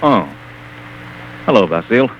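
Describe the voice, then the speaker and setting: Gruff skeptical editor, low pitch, dismissive, 1940s radio drama, muffled sound. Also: male voice, sing-song, unhurried, background noise. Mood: curt, dismissive. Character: skeptical, scornful male newspaper editor. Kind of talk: 1940s radio suspense acting.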